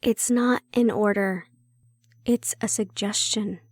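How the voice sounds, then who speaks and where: A woman playing a prickly but secretly caring handler in an intimate fantasy audio roleplay, speaking in a wry, measured, matter-of-fact way. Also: mid-range voice, steady tone, dry recording, studio quality. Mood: wry, blunt.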